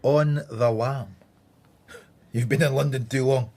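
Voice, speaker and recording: An actor playing a sardonic Scottish police inspector in a radio drama, with a dry, needling manner. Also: male voice, mid-range voice, fairly even intonation, unhurried, darkish tone. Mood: guarded, dry.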